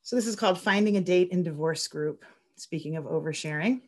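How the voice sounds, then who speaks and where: Lower-pitched woman on a literary panel. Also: fairly quick. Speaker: festival organizer and essay writer. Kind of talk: chatty panel talk and reading own essay aloud. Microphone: video call.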